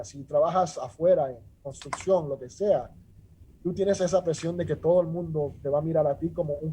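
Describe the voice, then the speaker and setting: A middle-aged man from Rico, a judge talking earnestly in a measured way with many restarts and filler sounds. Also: fairly high pitch, warm tone, a little hiss or hum, low-quality recording. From Puerto Rico. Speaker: judge, interview guest. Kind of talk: casual interview talk.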